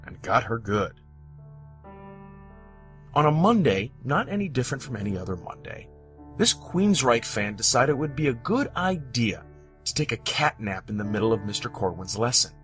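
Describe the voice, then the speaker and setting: A man from the United States narrating scripted personal stories, with dramatic, deliberate phrasing and pauses. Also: medium pitch, fairly bright tone. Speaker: storyteller. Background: background music.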